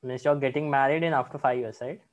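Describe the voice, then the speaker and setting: A man in his early twenties with an Indian accent, hosting a casual chat in an informal, halting way. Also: mid-range voice, steady tone. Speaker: podcast host.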